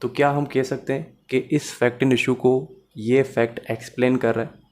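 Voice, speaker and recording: A man's voice: mid-range voice, level tone, normal speed, darkish tone. A man with a Pakistani accent giving a methodical, step-by-step explanatory lecture as a law teacher, mixing in English terms.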